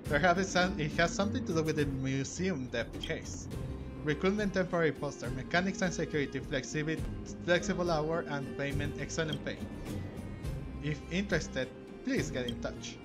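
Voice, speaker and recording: A man's voice: fairly high pitch, moderate pace. A man giving casual video game commentary and reading on-screen text aloud. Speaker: gamer streaming a playthrough. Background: loud game music.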